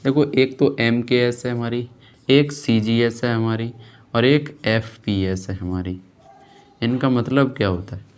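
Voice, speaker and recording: A man's voice: mid-range voice, unhurried, clean tone. Man teaching, question and answer. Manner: didactic, asks and answers own questions, repeats key terms; frequent check-in tag after points. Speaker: physics teacher. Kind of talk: classroom lesson, explaining while writing on a board.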